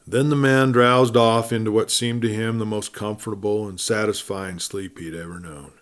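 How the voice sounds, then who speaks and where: A male storyteller with a fairly low voice narrates a classic adventure tale. His delivery is dramatic and measured, leaning on key phrases and letting sentence endings drop softly away. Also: fairly even intonation. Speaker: storyteller, audiobook reader.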